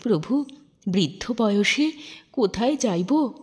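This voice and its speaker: A woman's voice: mid-range voice, expressive, slow, husky. A woman voicing a humble old male servant from a storybook, pleading reverently and tearfully.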